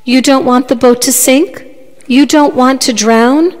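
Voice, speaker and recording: A woman's voice: high-pitched, steady tone. Woman playing a stubborn, nonchalant fisherman, echoing hall. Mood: calm, stubborn. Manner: nonchalant, slow explaining. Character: stubborn, nonchalant fisherman, calm and unbothered male. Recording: long hall reverb.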